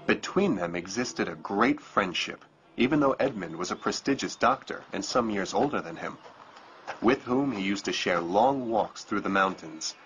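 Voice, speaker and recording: Lowish-voiced male narrator. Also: expressive, moderate pace, a bit bright, soft background music, low-quality recording. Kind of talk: scripted biography narration. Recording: clear background noise behind narration.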